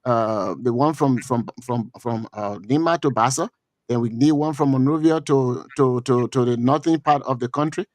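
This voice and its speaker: A middle-aged Liberian man with a Liberian accent giving political commentary on a talk show, halting and repeating words with many fillers. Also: mid-range voice, darkish tone, no room sound.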